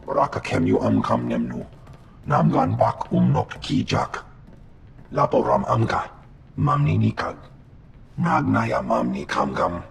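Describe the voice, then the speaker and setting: An actor voicing a gruff, blustering male alien gangster in a video game, speaking an invented alien tongue in a gravelly voice. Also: on the low side, sing-song, a little slow, long pauses, a little hiss or hum, full character voice. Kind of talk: video game creature acting.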